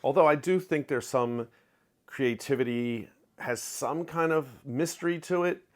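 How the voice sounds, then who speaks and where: A middle-aged man giving a candid, opinionated book review in a home study, talking close to the camera with lively hand gestures. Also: mid-range voice, unhurried. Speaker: book vlogger. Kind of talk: casual reading wrap-up review. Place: home study with shelves of collectibles. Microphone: webcam framing, close to camera.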